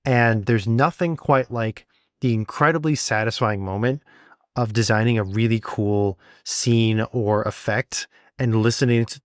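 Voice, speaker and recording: A man's voice: medium pitch, a little slow, no room sound, studio quality. A man co-hosting a podcast, talking casually and encouragingly, with rambling, self-deprecating asides. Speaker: podcast co-host and sound designer. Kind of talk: casual conversational podcast talk.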